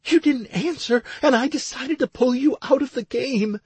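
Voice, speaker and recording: A frantic, tearful young wife, acted out by a male narrator, pitched high and pleading. The delivery is urgent and emotional, like audiobook dialogue, with a slightly husky edge and a dry, close sound. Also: normal speed, darkish tone, no room sound, full character voice. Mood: tearful, explaining.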